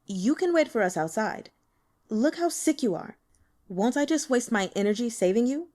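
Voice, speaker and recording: A woman's voice: fairly high pitch, animated, normal speed, darkish tone, natural voice. Woman voicing a languid, teasing martial-arts man, slightly raised. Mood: needling. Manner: needling, questioning. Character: languid, teasing, arrogant male martial artist. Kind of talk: audiobook character dialogue.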